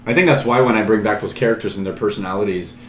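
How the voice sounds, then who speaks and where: A man agrees and adds to a friend's point in a casual movie chat. He talks fast in a voice that sits fairly low. The room rings a little, with faint noise behind him. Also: roomy sound. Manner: agreeable, quick-talking. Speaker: podcast guest.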